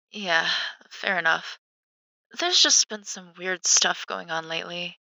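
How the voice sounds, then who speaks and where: A woman's voice: fairly low pitch, slow pace, gravelly, pushed and bright, dry recording, heavily acted, low-quality recording. An actress playing a supportive, chatty young woman, a protective best friend, in a naturalistic audio drama. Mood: uneasy, concerned.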